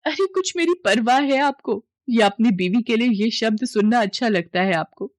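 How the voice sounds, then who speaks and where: A hurt young wife from an audio story, played by a woman narrator, indignant and pleading. Her voice sits fairly high and the recording is dry. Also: animated, normal speed, no room sound, lightly acted. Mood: angry, hurt.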